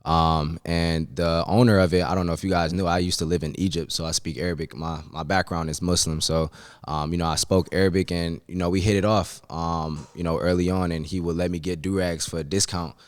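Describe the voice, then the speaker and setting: A young man from Cleveland in his twenties, chatting as a podcast guest. His voice sits very low and comes across smooth and clear. He talks in a relaxed, slangy way, filling pauses with ums, and the sound is dry and close. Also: dry recording. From Cleveland, Ohio. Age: 20s. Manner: laid-back, slangy, frequent ums. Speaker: podcast guest, business owner. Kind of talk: casual interview chat.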